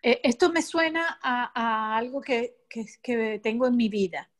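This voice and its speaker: A woman's voice: on the high side, unhurried. A woman participant giving a personal account in casual speech, candid and emphatic. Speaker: seminar participant.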